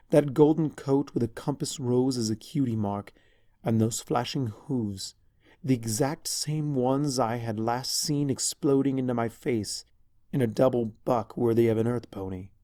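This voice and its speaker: Mellow, lovestruck henchman storyteller, read by a man. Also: medium pitch, unhurried, dry recording, clean studio sound, natural voice. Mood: smitten, intense. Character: lovestruck villain's pony henchman recounting his past. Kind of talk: first-person scripted story narration.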